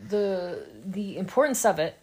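A woman, a podcast co-host, chatting casually, reacting and adding quick sardonic remarks. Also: mid-range voice, expressive, darkish tone, breathy edge, low-quality recording. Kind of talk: casual chat.

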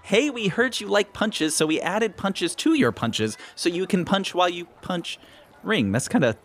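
A man voicing an eager, goofy, nerdy adventurer in an animated gaming comedy, chatty and theatrical. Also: sits high, normal speed. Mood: amused, excited.

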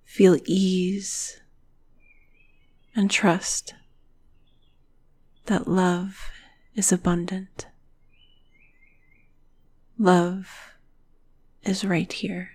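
Woman, guided meditation, very slow pacing, even soft intonation, soothing, trailing into whispers. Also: fairly low pitch. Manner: soothing, breathy, phrase endings trailing into whisper.

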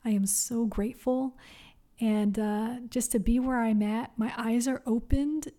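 A young adult woman hosting a solo wellness podcast, speaking softly and gently with many pauses, encouraging the listener. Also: a little high, steady tone. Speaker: podcast host.

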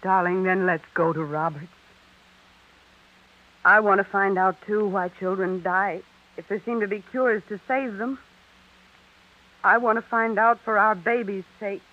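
A woman's voice: mid-range voice, fairly even intonation, unhurried, long pauses, close to an everyday voice. An actress playing an earnest, anxious woman in a theatrical, impassioned 1930s radio drama style. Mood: pleading, determined. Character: earnest, anxious young expectant wife, also a grieving mother. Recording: dull lo-fi vintage radio recording.

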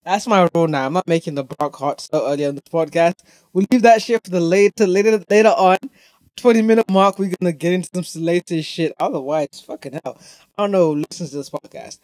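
A man's voice: high voice, fairly quick, darkish tone, dry recording. A young man from Zimbabwe hosting a podcast, ranting casually and emphatically in slangy, profanity-heavy speech and repeating his points. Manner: emphatic, repetitive, heavy swearing. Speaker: podcast host. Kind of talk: casual opinionated rant, profanity-laden slangy chat.

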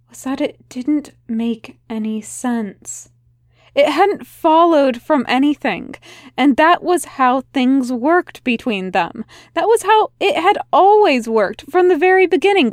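A woman reading a fan fiction story aloud in a soft, unhurried narration. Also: high-pitched, no echo, clean studio sound. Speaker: podfic reader.

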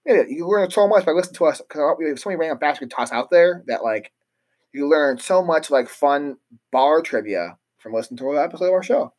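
Male podcast co-host, relaxed and rambling, talks in loose casual chat. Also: a little high, brisk. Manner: rambling, easygoing, full of fillers.

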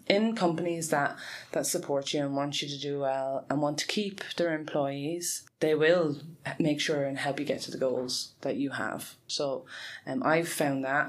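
A woman in her forties answering interview questions earnestly, often laughing and restarting her sentences. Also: sits low. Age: 40s. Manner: earnest, frequent laughs, self-correcting. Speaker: guest, tech support lead.

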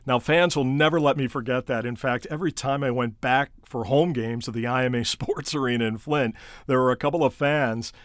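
A man's voice: mid-range voice, brisk, dry recording, clean studio sound. A middle-aged American man hosting an instructional podcast in an emphatic, coaching way, speaking straight to the listener like a practiced sports broadcaster. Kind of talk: prepared instructional podcast narration with personal anecdotes.

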